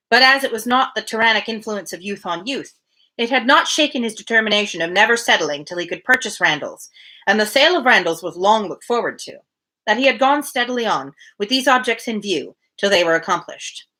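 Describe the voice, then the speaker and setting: Bright-voiced woman reading aloud, lively intonation. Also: medium pitch. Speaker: reader hosting a daily live book reading. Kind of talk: reading a classic novel aloud, with casual asides.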